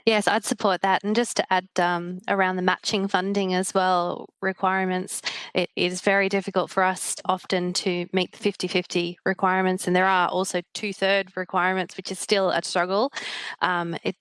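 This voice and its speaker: Australian woman speaking for her local council at a parliamentary hearing, with a smooth, clear, fairly low voice. She explains things in a measured way, with the odd "um". The sound is dry and close. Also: little pitch movement, a bit bright, dry recording. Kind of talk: giving evidence at a hearing.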